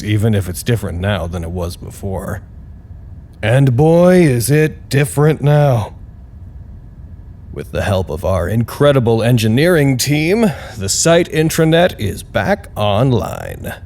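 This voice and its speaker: An actor playing a wry, deadpan announcer reading chatty status reports in a scripted audio drama broadcast. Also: male voice, mid-range voice, slight background noise. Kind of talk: scripted in-character radio broadcast. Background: music.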